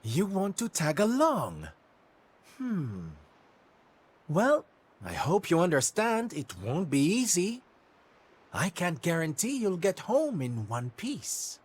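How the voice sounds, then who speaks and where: A man's voice: high-pitched, huge pitch swings, takes it slowly, slightly rough, exaggerated voice. An actor playing a flamboyant, kindly male circus entertainer in a video game, camp, dramatic and affectionate. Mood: measured, indulgent. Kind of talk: theatrical video game acting.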